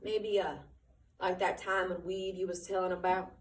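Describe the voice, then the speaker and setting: A woman reading aloud, voicing a calm ranch man who talks quietly and steadily. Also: fairly low pitch, moderate pace, slight background noise, close to an everyday voice. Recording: roomy, from far off.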